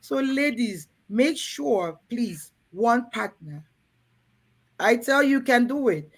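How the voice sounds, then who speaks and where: A woman's voice: fairly high pitch, animated, takes it slowly, slightly rough. A woman from Cameroon hosting a frank talk to women in a room, earnest and coaxing, often turning to the ladies in her audience. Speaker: host.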